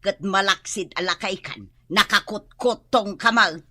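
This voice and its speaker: A woman's voice: medium pitch, a little slow, pushed and bright, no echo. A woman playing a nagging wife. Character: scolding wife of an old drinker. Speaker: radio drama actress. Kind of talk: Ilocano comedy radio drama acting.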